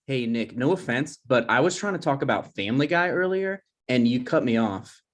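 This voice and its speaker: A man plays a touchy grown son and socially awkward host in a comedy read-through. He is defensive and quick to argue, speaking in an everyday conversational voice. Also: mid-range voice, animated, close to an everyday voice. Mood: argumentative. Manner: defensive, argumentative. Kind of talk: comedy script table read.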